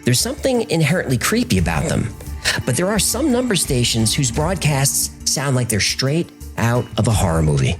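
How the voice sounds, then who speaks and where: Male podcast narrator, scripted documentary read, animated intonation, medium pitch, faint music bed. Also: moderate pace.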